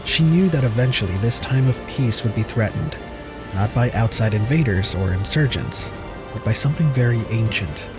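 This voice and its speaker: A man's voice: mid-range voice, expressive, normal speed, over music. A man reading scripted dramatic narration as the narrator of an audio story.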